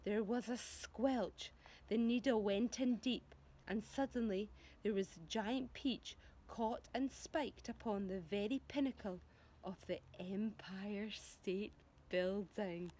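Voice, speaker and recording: A woman reading a storybook aloud to children as a teacher would, animated and expressive, speaking directly to them. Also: mid-range voice, slow. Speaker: primary school teacher reading to pupils.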